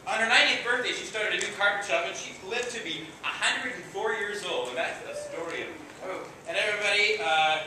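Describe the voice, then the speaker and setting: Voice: mid-range voice, brisk, hardly any pauses, sharp tone. A host narrating a live children's school play, encouraging and directing the young performers line by line. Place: large echoey room at a school event. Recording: echoey noisy hall, heard from far off.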